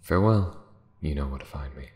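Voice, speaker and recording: A man voicing a proud, lonely dragon in an ASMR fantasy roleplay, speaking formally and musingly with dramatic pauses and hushed drops. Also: lively intonation, echoey room. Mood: hesitant, resigned. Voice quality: very deep, creaky. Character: lonely, proud male dragon, last survivor, wistful.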